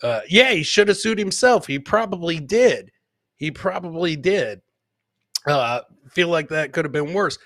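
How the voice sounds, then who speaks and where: A man's voice: on the high side, expressive, a little slow, a bit bright, dry recording. Casual male podcast host with dry sound. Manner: informal, swearing, filler-heavy. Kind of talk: casual live comedy chat, reading and answering viewer comments.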